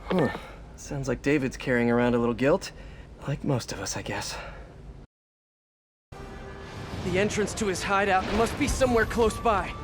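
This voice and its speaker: An actor playing a youthful, kind-hearted superhero in a video game, with an American accent and a casual, wry, friendly way of talking. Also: male voice, a little high, fairly quick, music in the background, lightly acted. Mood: wry, musing. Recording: clear background noise.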